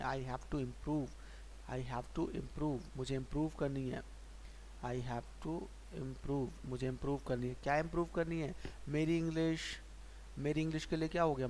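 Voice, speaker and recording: A man teaching English grammar in Hindi, patiently asking and answering his own questions and slowing down to spell out example sentences. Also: on the high side, a little mellow. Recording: faint hiss.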